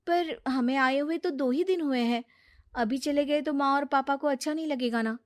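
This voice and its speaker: A woman voicing a concerned young wife who coaxes and gently scolds in a cartoon moral story. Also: high voice, moderate pace, voice pushed up, lightly acted. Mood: coaxing.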